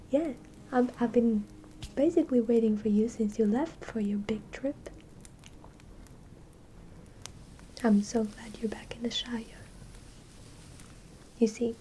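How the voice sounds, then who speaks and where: A woman playing a tender, doting village friend in a fantasy ASMR roleplay, whispering softly in a caring, hesitant, soothing way. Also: on the high side, slight background noise. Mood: delighted, tender. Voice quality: soft, breathy whispering. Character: tender, doting female Shire friend welcoming a hero home.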